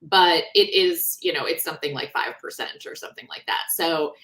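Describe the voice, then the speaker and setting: A woman author talking in a conversational interview, explaining things in an animated, informal way. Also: sits low, normal speed. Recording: roomy, echoing sound.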